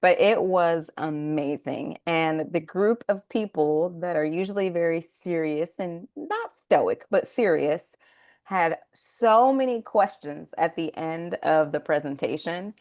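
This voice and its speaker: Woman, webinar host, enthusiastic, low pitch, dry recording. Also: unhurried, darkish tone, no echo. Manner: enthusiastic and upbeat. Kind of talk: webinar interview.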